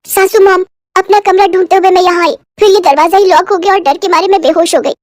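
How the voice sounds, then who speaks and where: Woman acting a high-pitched, timid young foreign bride. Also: steady tone, fast, no echo, clean studio sound. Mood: anxious. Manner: broken Hindi with muddled verb genders. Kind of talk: acted dialogue in a moral story. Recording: music behind the voice.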